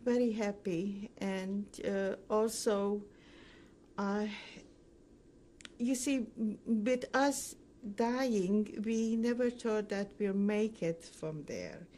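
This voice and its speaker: An older woman recounting her memories as a survivor in an oral history interview, speaking haltingly with frequent pauses mid-sentence. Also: medium pitch, dark tone, a little hiss or hum. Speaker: Holocaust survivor giving testimony.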